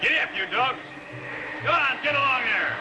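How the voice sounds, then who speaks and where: An actor playing a blustering, folksy sled driver yelling at his dog team in a 1950s TV adventure. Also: very high-pitched, lively intonation, normal speed, rough recording. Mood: blustering, urging. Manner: shouting, exclamatory, folksy. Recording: vintage TV soundtrack, loud music behind.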